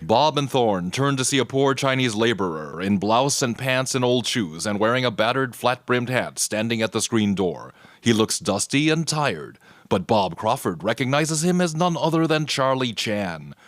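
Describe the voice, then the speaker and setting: A man's voice: medium pitch, lively intonation, normal speed, hardly any pauses, dry recording. A man giving scripted, present-tense dramatic narration for an audio mystery.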